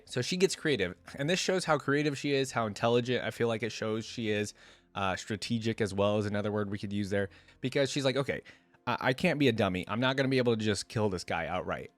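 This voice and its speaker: A man with a fairly low voice hosts a reaction video. He reads narrative prose aloud and comments in a casual, slangy way. The sound is very dry, with no room around it. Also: dry recording.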